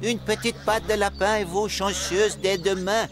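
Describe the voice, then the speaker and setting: An actor playing an old beggar woman in a cartoon, peddling lucky charms in a wheedling, flattering way. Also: medium pitch, unhurried, music in the background, close to an everyday voice. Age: older. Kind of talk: cartoon voice acting.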